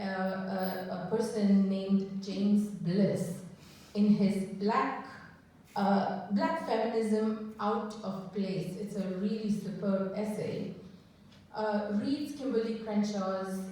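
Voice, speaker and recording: A woman giving an academic panel talk at a conference, measured and thoughtful, often posing questions to the audience. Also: medium pitch, dark tone. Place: conference hall. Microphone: microphone that cut out mid-talk. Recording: echoey hall, from far off.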